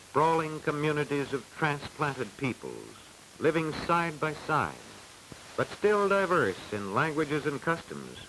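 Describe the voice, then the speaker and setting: An American man reading scripted narration for a 1940s educational film in a formal, measured, declamatory way, on a hissy old soundtrack. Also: fairly high pitch, sharp tone, low-quality recording.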